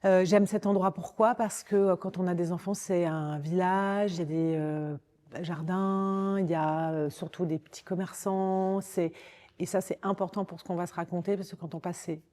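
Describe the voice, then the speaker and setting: Female interview guest, forties, Parisian French, low soft-edged voice, informal delivery that circles back and rephrases. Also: steady tone, moderate pace. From Paris, France. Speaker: podcast guest, communications director. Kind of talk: conversational interview, recounting own career.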